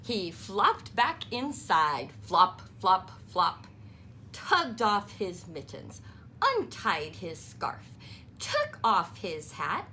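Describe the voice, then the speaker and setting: A woman reading a children's story aloud, deliberately, with playful emphasis on the sound words. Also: medium pitch, huge pitch swings, bright, forceful tone, a little hiss or hum. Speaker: storybook reader. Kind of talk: children's read-aloud narration.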